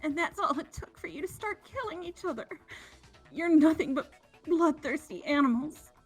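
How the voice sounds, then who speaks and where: Woman as young female game villain, very high voice, cruel, cynical, scornful. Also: lively intonation, moderate pace, soft background music. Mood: contemptuous. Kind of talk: fan voice-over of a video game.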